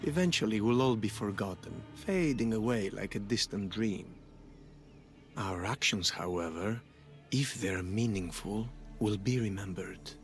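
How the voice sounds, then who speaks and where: An actor playing a philosophical, quietly menacing cult storyteller in a video game, speaking in a measured, eloquent, theatrical way. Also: male voice, on the low side. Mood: reflective, courteous. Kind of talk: video game dramatic acting. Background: music.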